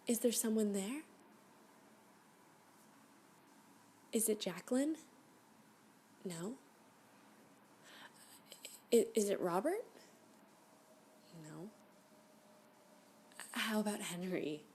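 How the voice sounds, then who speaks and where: A woman playing a flirty, obsessive stalker in an ASMR roleplay, breathy and often whispering, with a coy, smitten manner. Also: medium pitch, dark tone, a little hiss or hum. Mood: curious, inviting. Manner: coy, slow, unhurried, with giggly asides.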